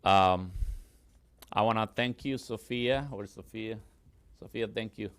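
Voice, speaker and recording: A pastor, a man whose first language is Spanish, giving a slow, solemn public invocation prayer. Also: lowish voice, a bit bright, smooth, no room sound. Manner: slow, measured, solemn delivery.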